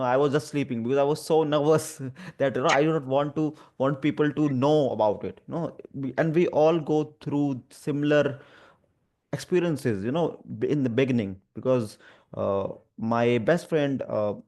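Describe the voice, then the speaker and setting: A man's voice: mid-range voice, darkish tone. Indian man with a stammer. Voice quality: stammer, with repeated sounds and blocks. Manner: hesitant, halting, earnest phrasing. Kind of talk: personal talk about lived experience, conversational.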